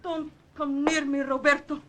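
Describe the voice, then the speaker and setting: High, intense young partisan woman, played by an actress. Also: flat delivery, moderate pace, natural voice. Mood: threatening. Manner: intense, melodramatic. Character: passionate, wounded young Italian woman partisan with gun. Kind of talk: old-time radio drama acting. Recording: noisy vintage radio recording.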